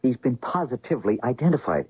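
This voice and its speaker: Husky, insistent young doctor son. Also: male voice, mid-range voice, big pitch swings, quick pace, no room sound. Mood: urgent disbelief. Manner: urgent, emphatic, questioning. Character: earnest, insistent male doctor, grown son. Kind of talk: radio drama acting. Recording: dull, muffled old radio recording.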